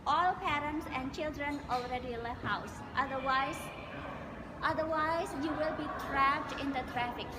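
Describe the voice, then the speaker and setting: A woman with an Indonesian accent answering interview questions casually, explaining in an animated way and sometimes searching for words. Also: high-pitched. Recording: echoey hall with loud background noise.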